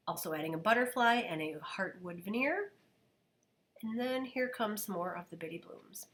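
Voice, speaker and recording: Soft-voiced woman losing her voice to a cold. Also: on the low side. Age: adult, parent of a daughter. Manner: chatty, relaxed, describing steps as she goes. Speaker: scrapbooking video host. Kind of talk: casual craft voice-over narration.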